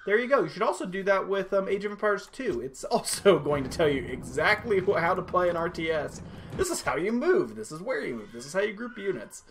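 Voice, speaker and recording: A man's voice: high-pitched, sing-song, brisk, slight background noise. A man giving casual, rambling let's play commentary, joking and self-deprecating. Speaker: gaming channel host. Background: faint game music.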